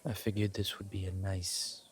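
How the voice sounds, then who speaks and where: A man playing a suave, grateful old vampire in a roleplay audio, speaking formally with pauses and quiet amusement. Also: low voice, level tone, natural voice. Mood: gracious, inviting. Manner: genteel, formal, hesitant pauses, soft chuckles. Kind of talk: intimate one-sided roleplay audio drama.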